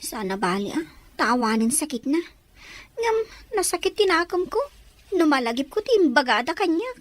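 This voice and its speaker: A woman's voice: high voice, big pitch swings, moderate pace, no room sound. A woman playing a young, sensitive, playful girl in an audio drama. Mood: hurt, sulky.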